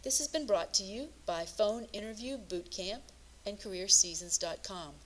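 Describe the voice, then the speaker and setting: A woman narrates a scripted career lesson as a coach and presenter. Her pitch sits in the middle range for a woman. She speaks in a measured, instructive way, like someone walking listeners through prepared points. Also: lively intonation, a bit bright, slight background noise, poor audio.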